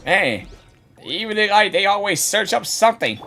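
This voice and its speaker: A woman's voice: mid-range voice, sing-song, normal speed, bright, forceful tone. A woman streamer giving casual, rambling reactions to a game as she plays, often repeating her words. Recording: game music behind voice.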